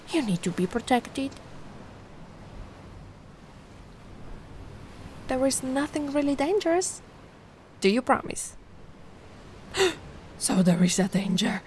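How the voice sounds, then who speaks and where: A woman voicing a giant, sweet and curious young mermaid in an ASMR roleplay, gushing and doting in a gentle, cooing way. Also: high voice. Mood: worried, protective. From Italy. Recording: noticeable ambient background noise.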